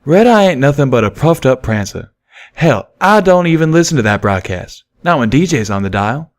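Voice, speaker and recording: A man's voice: mid-range voice, big pitch swings, normal speed, voice pushed up, close to an everyday voice. A man voicing a sassy, blunt mare pony in an audiobook, with folksy, slangy talk. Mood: scornful.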